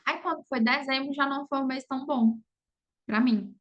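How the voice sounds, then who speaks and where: A woman's voice: high-pitched, fairly even intonation, normal speed, muffled recording. A Brazilian woman talking casually as an interview guest, often adding little check-in tags to her sentences. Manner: conversational, frequent "sabe" and "entendeu" tags. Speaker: podcast guest, affiliate marketer.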